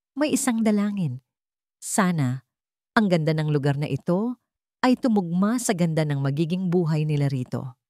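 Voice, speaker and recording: A woman tells a scripted dramatic story in a low-pitched voice. The recording is dry and close, with no room around the narration. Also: dark tone, dry recording.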